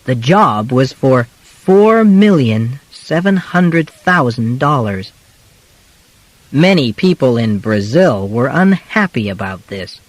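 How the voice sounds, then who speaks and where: A man reading a simple scripted biography as an audiobook, in deliberate, clearly separated phrases. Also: mid-range voice, big pitch swings, dry recording, low-quality recording. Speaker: audiobook narrator.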